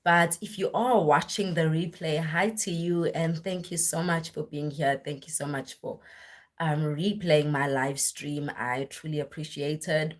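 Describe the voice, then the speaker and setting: Young woman, husky, low-pitched, worn voice, casual rambling live-stream chat. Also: fairly even intonation, unhurried. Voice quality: husky, deep voice. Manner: rambling, filler-heavy, self-correcting. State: voice worn and deeper after a weekend of church singing.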